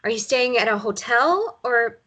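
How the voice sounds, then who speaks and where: A woman's voice: a little high, animated, a little slow, sharp tone, full character voice. Woman playing irritable rural mother, harried and grudgingly hospitable, drama table read. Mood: exasperated.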